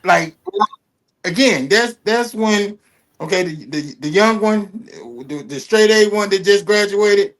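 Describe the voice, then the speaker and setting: A man hosts a casual interview, his voice sitting a little high. He rambles eagerly, circling back and repeating himself as he talks. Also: takes it slowly, fairly bright tone. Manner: enthusiastic, rambling, heavy fillers and repeats. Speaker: host.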